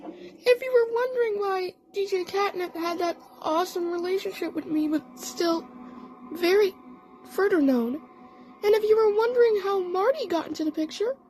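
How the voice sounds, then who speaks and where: A performer voicing a female cartoon cat scientist telling a story in a halting, rambling way, in a fan audio play. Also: extremely high pitch, a little mellow, faint music behind, rough recording. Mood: reminiscing, halting.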